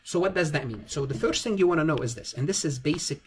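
A man's voice: a little high, lively intonation, fast. Man teaching accounting over background noise. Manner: repeats phrases for emphasis. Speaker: accounting lecturer. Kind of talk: informal step-by-step spreadsheet tutorial.

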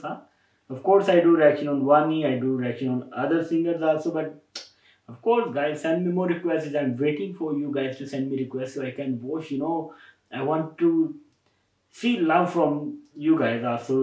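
A man's voice: a little high, a little mellow. A young man with a Pakistani accent, hosting a reaction video with eager, repetitive appeals to his viewers. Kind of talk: casual reaction commentary. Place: bedroom indoors. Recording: roomy sound, mic at a distance.

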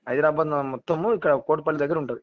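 A man's voice: fairly high pitch, fast talker, no room sound. A man with a Telangana Telugu accent talking casually and earnestly as a caller, often repeating words and phrases. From Telangana, India. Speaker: caller, local voter. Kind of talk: casual phone chat. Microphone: phone call. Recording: dull, band-limited phone call audio.

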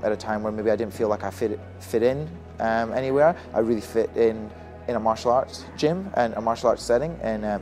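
A man's voice: mid-range voice, darkish tone. A young man from Scotland who grew up in Australia, a Muay Thai fighter and coach, talking casually in a gym interview with many fillers. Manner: quick, chatty, many fillers and restarts. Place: boxing gym, indoors. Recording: music bed under voice.

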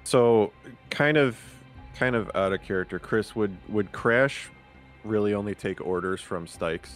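A man playing a tabletop game, chatting casually in a halting, tentative way. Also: lowish voice, soft background music.

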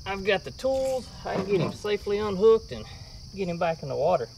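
A man's voice: high-pitched, a little fast, vocal fry. A man, a fishing vlogger giving casual, plain-spoken how-to talk outdoors in a marsh. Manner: relaxed, plain-spoken instructional. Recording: distant mic, outdoor noise.

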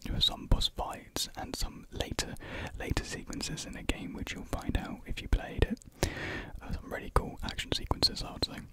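A British man in his twenties whispering a casual ASMR ramble softly, close to a desktop microphone in a small room. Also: moderate pace. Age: 20s. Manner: soft unvoiced whispering. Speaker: ASMR video creator. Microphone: close desktop USB microphone.

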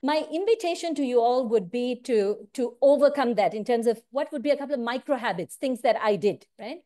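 A woman's voice: on the high side, brisk. Earnest Indian-born woman on a video call. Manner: earnest, animated, often tags lines with "right?". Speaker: consulting firm managing partner. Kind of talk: direct-to-camera talk on career and work. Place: home room, indoors. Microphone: wired earphone mic on a video call.

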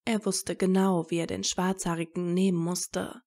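A woman's voice: fairly low pitch, little pitch movement, normal speed, darkish tone. A woman reading a story aloud as its narrator in a scripted audiobook style.

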